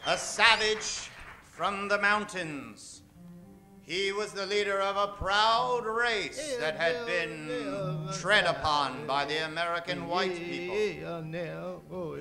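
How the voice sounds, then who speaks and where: A man narrating theatrically as the announcer of an old-time radio western, dramatic and emphatic, drawing out names. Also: high-pitched. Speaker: announcer introducing a radio play cast. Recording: music behind the voice. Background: music.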